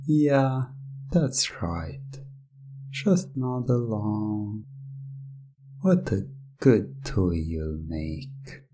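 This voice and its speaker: A man acts out a smug, controlling master of a magic gem in an ASMR hypnosis roleplay. His lowish, gravelly voice is mellow and hushed, coaxing the listener with condescension. There is faint noise in the close recording. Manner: slow, hushed, coaxing and condescending, slips into whispers. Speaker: roleplay audio performer.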